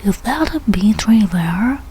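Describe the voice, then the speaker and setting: A woman reading a rhyming children's storybook aloud in short, deliberate phrases with pauses. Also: mid-range voice, lively intonation, mellow, slight background noise. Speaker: read-aloud reader learning English.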